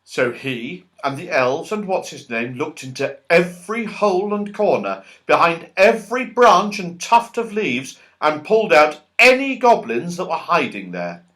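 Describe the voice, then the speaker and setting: A man narrating a children's audiobook in an expressive, dramatic and emphatic storytelling style. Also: on the high side, unhurried, few pauses.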